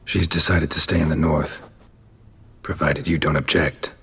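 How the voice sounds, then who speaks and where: A man playing a gruff, stoic, world-weary monster hunter in a fantasy game, speaking tersely and guardedly. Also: extremely low pitch, moderate pace, in a large hall, full character voice. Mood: grim, guarded. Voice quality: husky, gravelly. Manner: terse, flat, understated. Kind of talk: cinematic fantasy game acting.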